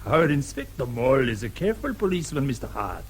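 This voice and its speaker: A man's voice: medium pitch, big pitch swings, brisk, a little hiss or hum. An actor playing a precise, pedantic forensic expert in a radio drama, formal and didactic as he gives evidence. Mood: precise, authoritative.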